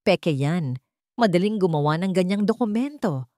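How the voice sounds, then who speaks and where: A woman's voice: on the low side, expressive, normal speed, dark tone, no echo, studio quality, lightly acted. A woman voicing a scheming, falsely solemn male corporate rival in a dramatic audio story. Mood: defiant, desperate.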